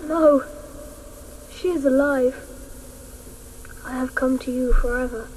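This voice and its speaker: A stubborn, heartbroken little boy in a radio drama. The voice is high and unhurried, earnest and plaintive. A faint layer of noise sits on the recording. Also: steady tone, a little mellow, poor audio. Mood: despairing, resolute. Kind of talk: radio play acting. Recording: faint hiss, old radio play.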